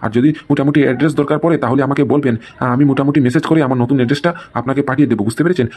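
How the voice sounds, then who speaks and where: Old cartoon father-in-law played by a man, touchy and blustering, emphatic, extremely quick talker. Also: mid-range voice, steady tone, a little mellow, a little hiss or hum, lightly acted. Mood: irritated, defensive. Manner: emphatic, repeats tag questions. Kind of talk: amateur comedy cartoon dialogue.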